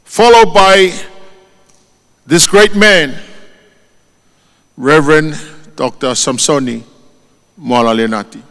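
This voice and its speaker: Tongan-accented male eulogist, solemn and deliberate, reverberant church hall. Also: a little high, fairly bright tone. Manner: solemn and measured, lifting his voice on each name. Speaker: eulogist at a funeral. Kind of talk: formal funeral eulogy.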